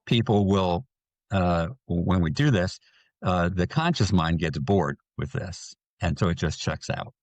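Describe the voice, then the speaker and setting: A man, a hypnotherapist guest, explaining in a slow way with many restarts and fillers. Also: low voice, dry recording. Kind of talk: explanatory interview talk.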